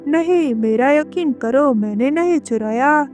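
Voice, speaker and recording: A woman's voice: high voice, lively intonation, measured pace, darkish tone. A woman voicing a frightened, pleading male parrot in a cartoon story. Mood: anxious, pleading. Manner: anxious, pleading. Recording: music behind the voice.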